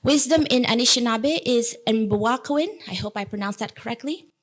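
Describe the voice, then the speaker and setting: Earnest, slightly high-voiced woman speaker. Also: moderate pace. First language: Indonesian. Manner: earnest, emphatic, with playful asides. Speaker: keynote speaker. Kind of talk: conference keynote talk.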